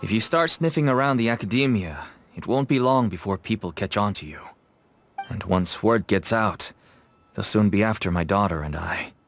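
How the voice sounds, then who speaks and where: A man's voice: lowish voice, animated, moderate pace, a bit bright. A man voicing a worried father who speaks anxiously and hesitantly in a game cutscene.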